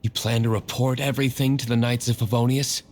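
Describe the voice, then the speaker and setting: A man's voice: mid-range voice, flat delivery, a little fast, a little mellow, slight background noise, lightly acted. A man playing an aloof young nobleman vigilante in a video game, speaking in a dry, formal, curt way. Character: Diluc, stern aloof young nobleman vigilante and tavern owner. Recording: faint music behind the voice.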